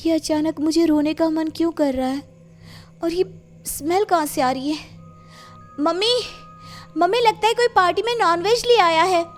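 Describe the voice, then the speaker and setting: A woman's voice: extremely high pitch, moderate pace, long pauses, soft background music. A woman playing an emotional young college student in a cartoon story. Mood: distressed, puzzled. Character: Kanishka and friends, emotional young college women.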